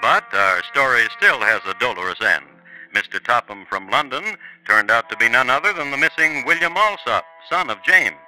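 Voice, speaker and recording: A man's voice: medium pitch, expressive, normal speed, sharp tone, faint music behind, low-quality recording. A man narrating a 1950s radio crime drama in a dry, wry storytelling manner.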